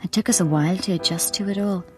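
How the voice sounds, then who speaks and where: An Irish actress playing a concerned, insistent newspaper colleague in a radio drama. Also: female voice, medium pitch, quick pace, faint music behind, lightly acted. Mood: reflective narration. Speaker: actor in a radio play. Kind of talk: naturalistic radio drama acting.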